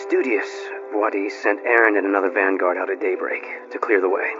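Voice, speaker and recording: A steady, helpful guard from a video game, played by a man. His voice is fairly low and he speaks in a casual, matter-of-fact way. The recording is slightly dull, with clear music behind. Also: fairly quick, close to an everyday voice. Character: plain-spoken, helpful, steady male guard. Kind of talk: video game cutscene acting. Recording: muffled game capture with music.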